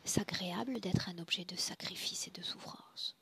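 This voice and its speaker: A woman guiding a trance session in a solemn, deliberate, commanding way, often dropping to a breathy hush. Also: fairly low pitch, whispered. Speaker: spiritual hypnosis session guide. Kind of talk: guided trance session, slow solemn address.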